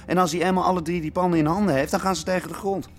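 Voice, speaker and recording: A man's voice: high voice, fairly even intonation, racing, a little hiss or hum, natural voice. An actor playing an outspoken, principled young squatter arguing his case in a Dutch radio drama. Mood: indignant.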